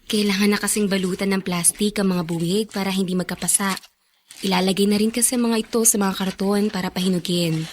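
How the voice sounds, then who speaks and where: A woman playing an earnest, caring young farm worker in a radio drama, with a Filipino accent and an expressive delivery. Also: mid-range voice, brisk, a little hiss or hum, natural voice. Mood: gentle. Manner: emotional, expressive. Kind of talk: Tagalog radio drama acting.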